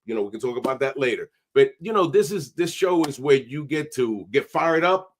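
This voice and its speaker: A man, hosting a podcast with energetic, streetwise talk sprinkled with Spanish. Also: mid-range voice, brisk. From New York. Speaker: podcast host. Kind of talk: casual interview chat.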